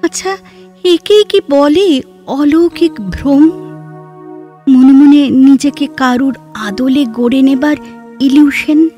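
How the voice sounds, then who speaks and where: Actress as a slow-spoken, high-voiced wistful poetic woman. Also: warm tone, faint music behind. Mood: reflective. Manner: theatrical, measured, poetic phrasing. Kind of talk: Bengali literary audio drama acting.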